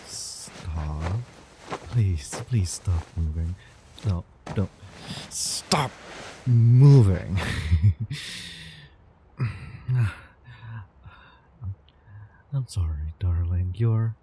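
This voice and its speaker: A man playing a doting, obsessive young student from the future in a halting, intimate audio drama monologue with nervous chuckles. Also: sits low, animated, a little hiss or hum, full character voice. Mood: soothing, urgent. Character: doting, obsessive male time-traveling student in love. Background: soft background ambience.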